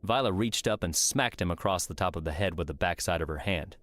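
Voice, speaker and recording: A man's voice: low voice, brisk, a bit bright, no room sound. A man reading scripted story narration as an audiobook narrator.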